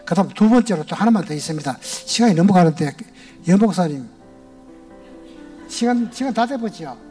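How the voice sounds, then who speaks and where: An older man speaks with a Gyeongsang accent, a retired elder sharing his testimony in a large church sanctuary. His voice sits high for a man yet sounds mellow and warm. His storytelling is plain and homespun, with a little music in the background. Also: lively intonation, fairly quick. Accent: Gyeongsang Korean. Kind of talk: personal testimony to a congregation.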